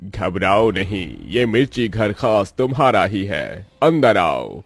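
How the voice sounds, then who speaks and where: A man voicing a kindly magical chilli house in a children's cartoon story, speaking in a slow, declamatory way. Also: fairly low pitch, very animated, breathy edge, dry recording, faint music behind, exaggerated voice. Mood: reassuring. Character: magical talking chilli house, male, kindly, reassuring provider.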